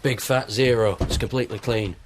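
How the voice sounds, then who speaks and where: A man's voice: mid-range voice, steady tone, a little fast, background noise, lightly acted. An actor in a radio drama playing a terse, matter-of-fact male police officer reporting to his superior. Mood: flat, apologetic.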